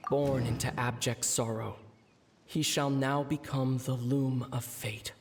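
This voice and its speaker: An actor playing a brooding young male traveler who mutters his thoughts aloud slowly, as if pondering. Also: medium pitch, steady tone, noisy background. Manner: slow, pondering, muttering to himself.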